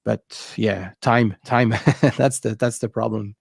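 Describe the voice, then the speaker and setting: Gravelly man hosting. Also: lowish voice, fairly even intonation, dry recording, clean studio sound. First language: French. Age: 30s. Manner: halting, non-native phrasing, laughs easily. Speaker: livestream host. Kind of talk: casual chat.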